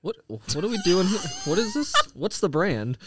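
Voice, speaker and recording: A man's voice: high-pitched, very animated, normal speed, very noisy. A man on a podcast panel, chatting casually in an informal, slightly hesitant way. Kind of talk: casual group chat about sneakers.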